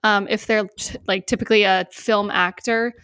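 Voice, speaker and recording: A woman's voice: medium pitch, steady tone, normal speed, a bit bright, clean tone, no room sound. Young woman podcaster, close dry sound. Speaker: podcast co-host. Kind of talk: casual chatty film and TV podcast talk.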